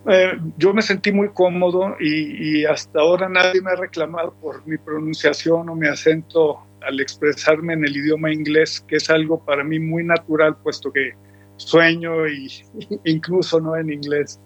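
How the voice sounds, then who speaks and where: Guy musician, kinda high voice, rambling on, dull lo-fi sound. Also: fairly even intonation, moderate pace. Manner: reflective, rambling with frequent restarts. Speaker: musician guest. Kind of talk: relaxed radio interview. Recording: dull lo-fi recording with faint music.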